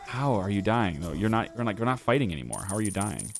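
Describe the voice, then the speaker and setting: Hoarse low-voiced man muttering through a game. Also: sing-song, fast talker, a little hiss or hum. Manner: thinking aloud, muttering to himself and the viewers. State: hoarse, losing his voice. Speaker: gaming YouTuber. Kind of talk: casual let's-play commentary. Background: game music.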